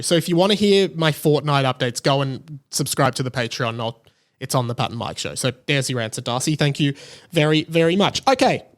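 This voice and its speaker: A young Australian man hosting a casual podcast. His voice sits a little high for a man, and he talks in an enthusiastic, gushing, chatty way. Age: late 20s. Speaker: podcast host.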